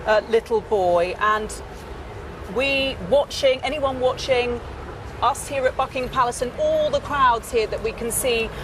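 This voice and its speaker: A woman's voice: on the high side, unhurried. A woman news correspondent giving live commentary outdoors. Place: outdoors outside a palace. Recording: outdoor broadcast with clear background noise.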